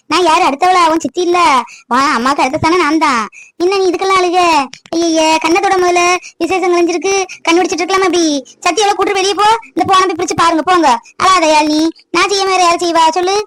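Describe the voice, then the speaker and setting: A woman's voice: very high-pitched, fast talker, a bit bright, no echo. Woman acting a protective, insistent middle-aged aunt. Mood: consoling, fussing. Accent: southern Tamil Nadu. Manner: chatty, insistent, colloquial. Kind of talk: acted family drama.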